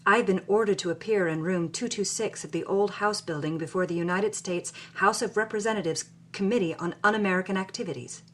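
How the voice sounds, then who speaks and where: A woman's voice: medium pitch, a little fast, few pauses, fairly bright tone, lightly acted. A woman playing a sardonic, troubled 1940s Hollywood writer, reading her journal with theatrical expression. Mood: wry. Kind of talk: dramatic first-person reading of journal.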